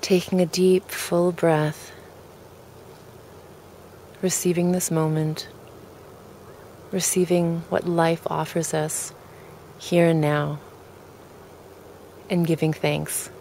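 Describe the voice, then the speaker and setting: Low-pitched, slow woman guiding a relaxation. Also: a little hiss or hum. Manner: calm, gentle, deliberate phrasing with pauses. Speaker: guided relaxation leader. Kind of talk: guided meditation, soft spoken invitation.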